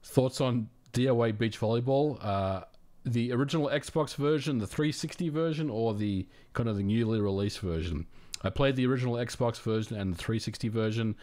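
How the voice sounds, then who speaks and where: A man with an Australian accent hosting a casual livestream, answering viewer questions in a relaxed, friendly way with frequent fillers and restarts. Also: medium pitch, normal speed. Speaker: livestream host.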